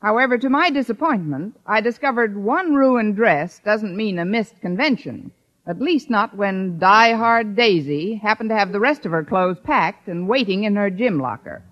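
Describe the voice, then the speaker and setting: An American actress playing a wry, scheming schoolteacher with dry, sardonic quips in a 1950s radio sitcom. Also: female voice, mid-range voice, expressive, normal speed, fairly bright tone, close to an everyday voice. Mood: wry narration. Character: wry, scheming schoolteacher woman with a quick quip. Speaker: lead character and narrator. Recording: muffled old radio broadcast.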